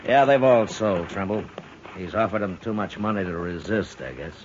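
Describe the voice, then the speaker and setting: An actor playing a stern, terse, dry U.S. Marshal in a 1950s radio western. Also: male voice, animated, moderate pace, lightly acted. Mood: businesslike. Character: stern, laconic U.S. Marshal of the Old West. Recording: muffled, noisy 1950s radio recording with rumble.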